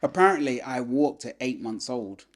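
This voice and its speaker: A man, a podcast guest telling his life story in a relaxed way, filled with "you know". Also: medium pitch, normal speed. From England. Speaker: podcast guest, former boxer. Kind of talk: casual life-story interview.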